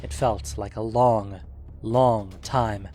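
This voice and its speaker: A man narrating a scripted fantasy story in a measured, suspenseful, dramatic audiobook manner. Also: on the low side, fairly even intonation, a little mellow, soft background music.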